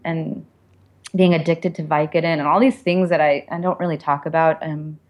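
Low-pitched woman, candid and conversational. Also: darkish tone. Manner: informal and reflective, frequent "like" and "you know". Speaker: author being interviewed.

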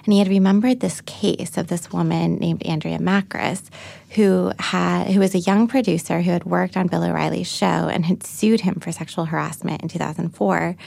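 A woman, talking fast and freely as an interview guest and repeating words as she goes. Her higher voice has a gravelly edge. The sound is close and dry. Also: steady tone, a little mellow, no echo. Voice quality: higher pitched voice. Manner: fast, chatty, many fillers and repeats. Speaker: journalist guest. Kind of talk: interview conversation.

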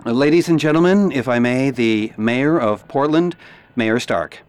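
An actor playing a flustered, apologetic radio show host in a theatrical live radio drama, prone to stammering and hesitation. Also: male voice, mid-range voice, a little slow, darkish tone, clear, resonant tone, lightly acted.

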